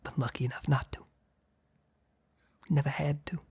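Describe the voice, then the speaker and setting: A man playing a mob boss boyfriend, blunt yet flustered, in an intimate ASMR roleplay with a breathy, halting delivery. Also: a little high, fairly even intonation, a little mellow. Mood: confessional, cold. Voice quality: breathy, husky, often near whisper. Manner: halting, sighing, blunt, swearing.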